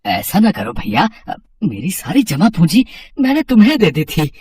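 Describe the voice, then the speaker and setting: A woman's voice: fairly low pitch, wildly swooping pitch, moderate pace, darkish tone, breathy edge, dry recording, clean studio sound, pitched below the performer's own voice, full character voice. A woman voicing a simple, trusting male street vendor and family man in a moral story, earnest and plain-spoken. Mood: pleading, upset.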